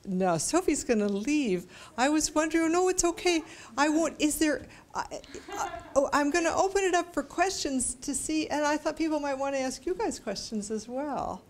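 A woman's voice: high-pitched, lively intonation, normal speed. A middle-aged woman speaking informally to a room as she leads questions, often restarting her phrases. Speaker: speaker leading a talk and question session. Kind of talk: informal public talk, taking questions. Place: indoor meeting room with audience. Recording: room ambience, some background noise.

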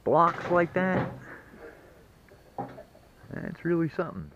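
A man's voice: fairly high pitch, sing-song, slow pace. A man narrating a metal detecting trip in a folksy, joking way, filmed outdoors and from inside a truck. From West Virginia. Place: outdoors in woods and in a truck on a rough trail. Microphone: action camera. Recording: muffled camera audio with loud engine noise.

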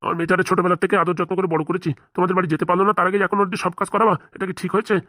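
A man's voice: sits high, flat delivery, very fast, sharp tone, dry recording, studio quality. A man playing a stern, protective middle-aged father-in-law who lectures insistently in a comic cartoon. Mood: stern, indignant. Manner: insistent, lecturing, rhetorical questions. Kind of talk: comic cartoon drama dialogue.

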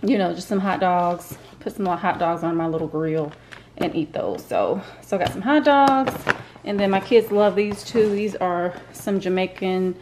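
A woman talks through her groceries in a relaxed, rambling way, full of fillers and self-corrections. Her voice sits a little low. It is a home kitchen recording with clear background noise behind her. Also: moderate pace. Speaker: vlogger. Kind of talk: casual grocery haul talk. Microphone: camera pointed at the counter, speaker off-screen.

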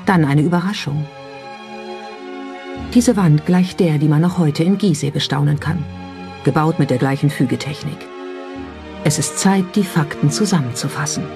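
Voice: mid-range voice, normal speed, gravelly, pauses often. A documentary narrator reading a scripted narration. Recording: music under the voice. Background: background music.

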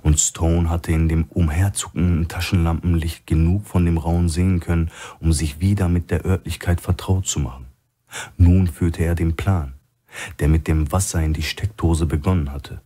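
A man's voice: low-pitched, fairly even intonation, moderate pace, dark tone. A man reading a crime thriller audiobook as a scripted narration.

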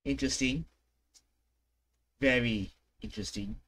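A man's voice: mid-range voice, big pitch swings, unhurried, vocal fry, fairly bright tone. A man in his twenties giving casual reaction commentary, often hesitant as he thinks aloud. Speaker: gaming reaction video host. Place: small room at home.

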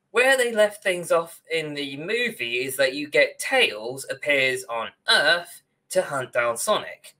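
A young man chats as co-host of a casual podcast on a video call. His voice is bright and very animated in pitch. He takes his time, rambling through his points at a slow pace. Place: small room at home, on a video call.

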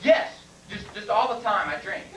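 A man's voice: high-pitched, animated, normal speed, music in the background. Man acting a nervous patient, echoey and distant. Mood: flustered, eager. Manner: stammering, protesting, comic exaggeration. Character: nervous, evasive male patient at a medical exam, blustering. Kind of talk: amateur comic stage acting. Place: classroom stage before small audience, far camera. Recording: distant, echoey, noisy camcorder in classroom.

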